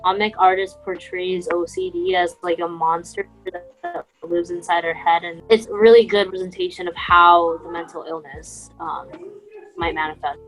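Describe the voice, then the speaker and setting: A woman's voice: fairly low pitch, fairly even intonation, moderate pace, faint music behind, poor audio. A woman co-hosting a podcast, explaining things in casual, conversational talk. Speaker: podcast co-host.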